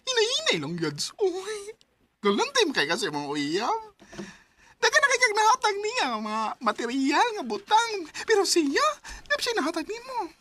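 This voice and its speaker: A woman's voice: extremely high pitch, huge pitch swings, normal speed, a bit bright, slight background noise, heavily acted. A woman with a Filipino Bisaya accent voicing a nosy, outspoken young best friend in a radio drama, exclaiming and scolding. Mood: teasing, disapproving.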